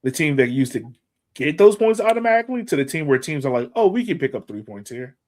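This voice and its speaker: A man's voice: on the high side, very animated, fast talker, darkish tone. Man in casual, emphatic sports chat. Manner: colloquial, emphatic repetition. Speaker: podcast co-host.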